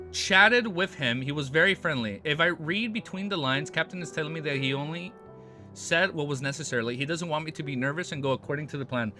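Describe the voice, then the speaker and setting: A hoarse man with a cold, reading a novel aloud on a livestream and breaking off into excited comments. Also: fairly high pitch. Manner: reads steadily, then breaks off into excited asides. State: hoarse, losing his voice from a cold. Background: background music.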